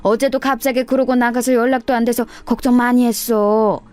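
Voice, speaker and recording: A woman voicing a nosy, chummy older female cleaner in an animated, gossiping way for a story reading. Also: a little high, a little fast, smooth, faint music behind, pitched above the performer's own voice, natural voice. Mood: concerned. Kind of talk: dramatised radio story reading.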